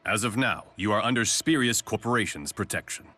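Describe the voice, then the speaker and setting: Deep, suave corporate chief. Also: male voice, expressive, fairly quick, bright, forceful tone. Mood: satisfied. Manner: polished, condescending. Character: suave, calculating corporate chief, adult man. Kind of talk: English video game voice acting.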